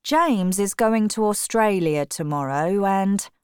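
A tired mother, fretting and pleading, as a woman reading puts her on. The voice is clear and smooth with a lively rise and fall. It is recorded dry and up close. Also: mid-range voice, slow, no room sound. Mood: worried. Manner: anxious, pleading. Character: tired older mother, worried and anxious.

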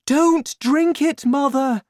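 A woman voicing an anxious, exasperated mother in a storybook, crying out in a high voice. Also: big pitch swings, slow, a little mellow, no room sound, clean studio sound. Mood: alarmed. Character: anxious, exasperated mother, protective of her family.

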